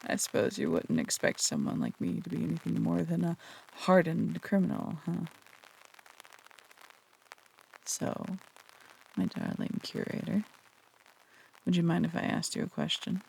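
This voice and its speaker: A performer playing a flirtatious, teasing phantom thief in an intimate roleplay audio drama, breathy and coy, with casual, earnest turns. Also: mid-range voice, very animated, measured pace, long pauses, darkish tone, slight background noise, heavily acted, low-quality recording. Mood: playful.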